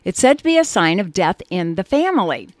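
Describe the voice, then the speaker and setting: A woman's voice: medium pitch, big pitch swings, brisk, no room sound. A woman co-hosting a radio show, chatting and reading ads in an upbeat way with bursts of emphasis. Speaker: radio co-host.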